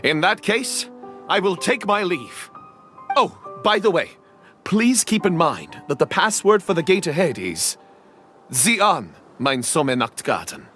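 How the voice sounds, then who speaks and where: A man's voice: sits high, big pitch swings, measured pace, over music. A man voicing an earnest, devoted would-be knight in a game, with a solemn, reverent, theatrical delivery. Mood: grateful.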